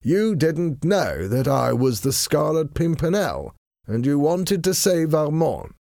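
A man voicing a calm, bored-sounding gentleman hero in an audiobook, with a drawling, courteous, nonchalant manner. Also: on the high side, slow, gravelly, dry recording, clean studio sound, pitched above the performer's own voice, full character voice. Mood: reassuring. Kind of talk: acted audiobook dialogue.

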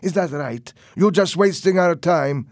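A man's voice: on the high side, sing-song, moderate pace, no echo, clean studio sound, pitched above the performer's own voice. A man voicing a pushy foreign gangster businessman with a strong accent and brusque broken English.

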